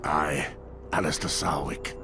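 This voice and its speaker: Deep, gravelly captive warrior. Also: male voice, steady tone, measured pace, background noise, full character voice. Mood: sombre. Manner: gruff, terse, brooding. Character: gruff, world-weary, defiant male warrior held captive. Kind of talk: dramatic video game voice acting.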